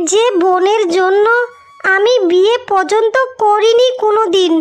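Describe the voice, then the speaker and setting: Woman voicing a very high-pitched heartbroken elder sister. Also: fairly bright tone, heavily acted. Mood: grieving. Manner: plaintive, drawn-out lamenting. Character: wronged, self-sacrificing elder sister, heartbroken. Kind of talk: melodramatic cartoon fairy-tale acting. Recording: music behind the voice.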